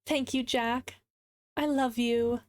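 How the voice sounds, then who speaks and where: A woman voicing a pleading, insistent female lover from a visual novel, soft and imploring. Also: a little high, fairly even intonation, slow pace, no room sound. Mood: tender. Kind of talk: dramatic character reading.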